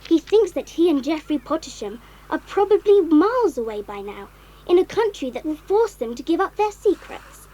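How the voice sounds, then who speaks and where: An eager, plain-speaking child in an adventure audio drama. The voice is high and swoops expressively, with a brisk manner. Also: female voice, big pitch swings, warm tone, a little hiss or hum, low-quality recording. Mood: reading aloud.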